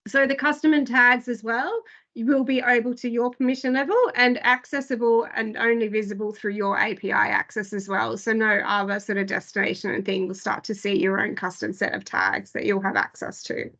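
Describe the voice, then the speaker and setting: A woman giving an online product briefing, slightly hoarse and full of hesitant fillers. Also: on the high side, fairly even intonation, a little fast, a bit bright. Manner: filler-heavy, frequent "I guess" and "um". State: losing her voice. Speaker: product presenter.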